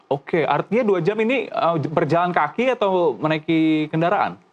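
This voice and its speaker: A man's voice: on the high side, big pitch swings, normal speed, highs cut off. Polite male TV interviewer. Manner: polite, formal. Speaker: TV news interviewer. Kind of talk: broadcast interview questions.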